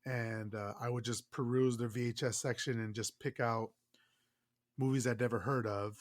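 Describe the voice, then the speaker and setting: A man's voice: mid-range voice, steady tone, moderate pace. Nasal, stuffed-up man chatting. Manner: enthusiastic, swears freely. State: stuffed-up nose. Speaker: podcast co-host. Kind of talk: casual chat.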